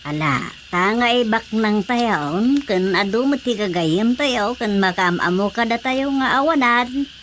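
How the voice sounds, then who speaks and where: Slow-talking, firm Ilocano wife. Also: female voice, a little high, animated, fairly bright tone, slight background noise. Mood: decided. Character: wife with firm ideas, talking plans over with husband. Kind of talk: Ilocano radio drama comedy acting.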